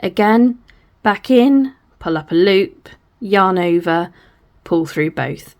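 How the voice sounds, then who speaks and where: A hoarse woman, patiently talking through a crochet tutorial step by step, often apologising as she goes. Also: medium pitch, expressive, slow. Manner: patient, apologetic, talks through each hand movement.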